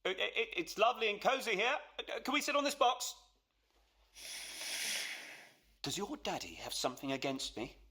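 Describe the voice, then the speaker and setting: Man playing an eager, wildly animated young fiancé. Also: high-pitched, fast, breathy edge, full character voice. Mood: nervous curiosity. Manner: effusive, flustered, deferential. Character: eager, gushing, posh young fiancé wooing his father-in-law. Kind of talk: radio play acting.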